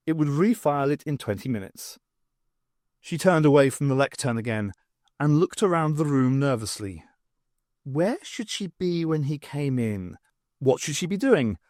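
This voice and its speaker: A man's voice: on the high side, a little mellow, no echo, clean studio sound. A man reading scripted fiction aloud as an audiobook narrator.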